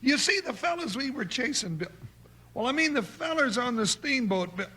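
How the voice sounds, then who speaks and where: An actor playing a big, loyal, slow-witted cowboy deputy with a folksy American accent and blustering manner in a 1950s Western radio drama. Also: male voice, big pitch swings, sharp tone, breathy edge, full character voice. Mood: puzzled, eager. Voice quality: high-pitched comic voice. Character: Jingles, big, comic, loyal, feisty cowboy deputy sidekick. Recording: noisy vintage radio recording with clicks.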